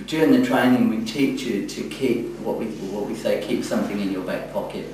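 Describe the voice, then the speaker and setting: Male yoga trainer, encouraging and halting, heard at a distance in a very reverberant room. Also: fairly low pitch, darkish tone. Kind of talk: spoken feedback in a group class. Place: echoey indoor room, from a distance. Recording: echoey room, distant mic, faint noise.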